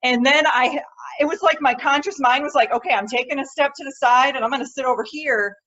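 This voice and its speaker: A woman's voice: high-pitched, fairly quick, fairly bright tone. A woman hosting an interview podcast, eagerly describing her own experience and asking her guest questions. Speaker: podcast host. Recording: dull lo-fi sound.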